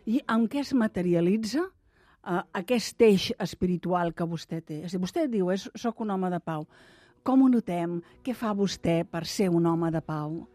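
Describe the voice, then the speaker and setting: A woman's voice: fairly low pitch, measured pace, dark tone, no echo. A woman interviewer asking direct, probing questions.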